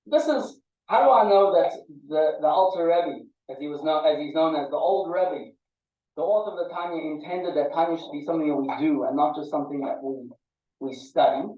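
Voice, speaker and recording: A man teaching a small study group informally, often halting and repeating words as he explains. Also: fairly high pitch, warm tone, distant mic. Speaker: class teacher. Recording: muffled phone-like audio, echoey, off-mic.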